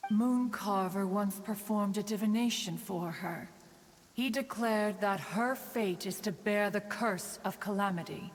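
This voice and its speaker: Actress as proud ancient female crane adept, formal archaic phrasing, unhurried, long reverb, game dialogue. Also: medium pitch, close to an everyday voice. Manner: formal, archaic, haughty, measured speech. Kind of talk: scripted video game voice acting. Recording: long reverberant tail, music underneath. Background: background music.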